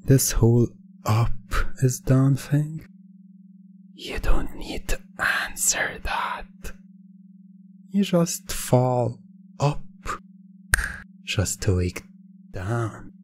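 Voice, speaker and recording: Male hypnosis ASMR performer: unhurried, drawn-out delivery, mellow and breathy, teasing yet commanding. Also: mid-range voice, animated. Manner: deliberate, pausing, teasing, commanding. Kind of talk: hypnosis ASMR, slow scripted patter.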